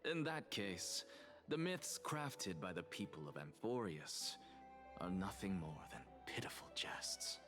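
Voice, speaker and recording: An actor playing an arrogant, cerebral professor in a video game, lecturing deliberately and letting phrase endings fall into breath. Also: male voice, low voice, sing-song. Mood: scornful. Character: arrogant, cerebral male professor, theatrical and defiant. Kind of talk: scripted video game dialogue. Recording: background music under dialogue.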